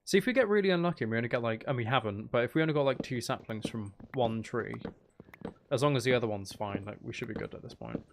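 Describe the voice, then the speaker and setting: A man's voice: mid-range voice, fast. A man giving casual live gameplay commentary, rambling and thinking aloud as he goes. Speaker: gaming streamer. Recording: faint background noise and clicking.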